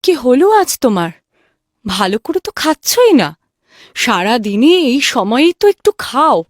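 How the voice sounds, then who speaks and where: A woman as a lively, fussing cartoon wife and mother. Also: fairly high pitch, moderate pace, dry recording, studio quality. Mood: concerned, coaxing. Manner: fussing, coaxing. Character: ageing shopkeeper's caring wife and indulgent mother.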